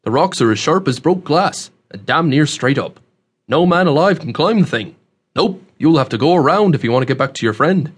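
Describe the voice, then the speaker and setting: A man's voice: a little high, lively intonation, normal speed, husky. A man narrating an audiobook, voicing a scruffy, blunt old hermit who talks in a matter-of-fact rustic dialect. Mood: warning.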